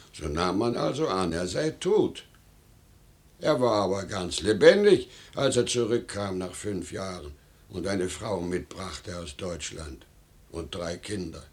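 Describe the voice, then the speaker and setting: An actor playing a simple, naive fishing-town man in a radio play, speaking deliberately and reassuringly. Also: low voice.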